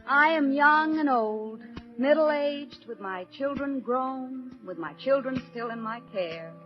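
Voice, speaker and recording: Middle-aged American mother and housewife, 1940s radio drama: slightly high voice, solemn, defiant, theatrical; lo-fi sound. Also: slow. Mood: reflective, weary. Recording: dull 1940s radio recording, faint music.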